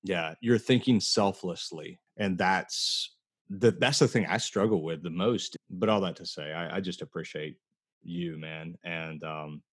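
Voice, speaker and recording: A podcast host, a man in his late thirties with an American accent, talks in a low voice with a dry, close sound. His delivery is earnest and conversational, like a casual catch-up with an old friend. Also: a little slow, breathy edge, no echo. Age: late 30s. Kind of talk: casual heartfelt chat.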